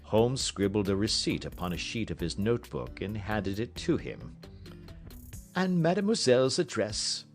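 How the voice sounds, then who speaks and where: A man reading a literary story as an audiobook narrator, in a measured, formal storytelling manner. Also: deep voice, sing-song, soft background music. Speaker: audiobook reader.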